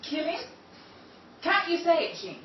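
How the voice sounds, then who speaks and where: An actress playing a bitter, estranged daughter in a 1930s-style radio drama, with sharp, indignant retorts. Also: female voice, very high voice, very animated, measured pace, reverberant, recorded from a distance, highs cut off, a little hiss or hum, exaggerated voice. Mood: defiant.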